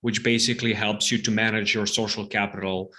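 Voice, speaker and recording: A man's voice: lowish voice, level tone, brisk, sharp tone. A middle-aged man from the Serbia region talks through his startup story as a podcast guest. His speech is loose and conversational, with frequent restarts, doubled words and fillers. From Serbia, Balkans region. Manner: repeats words often, many fillers. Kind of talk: casual podcast interview.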